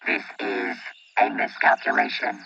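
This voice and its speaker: An actor playing a formal, unemotional robot guardian in a radio play, with a processed synthetic voice. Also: male voice, lowish voice, big pitch swings, unhurried, gravelly, highs cut off, exaggerated voice. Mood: calm urgency. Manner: formal, precise, unemotional phrasing. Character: stiff, formal, protective robot guardian of two children. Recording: band-limited, loud music behind. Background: loud music.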